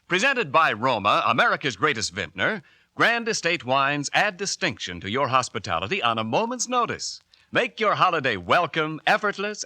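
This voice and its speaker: Bright lively ad man, dry old radio, polished pitch. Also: no echo. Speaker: commercial announcer. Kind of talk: 1940s radio ad read.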